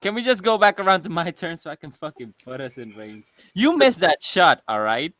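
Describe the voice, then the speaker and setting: A man playing a tabletop role-playing game, bantering casually in an animated, argumentative way. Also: on the high side, a little fast, dry recording, clean studio sound. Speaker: player, ship's pilot.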